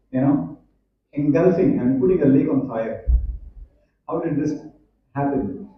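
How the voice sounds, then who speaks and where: A man's voice: fairly high pitch, a little hiss or hum. Indian man speaking in an echoey hall. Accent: Indian English. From Hyderabad, India. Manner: chatty, questions the audience, many fillers. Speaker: conference speaker. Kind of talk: conference talk to students. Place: large echoey college hall. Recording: echoey hall, distant, dull.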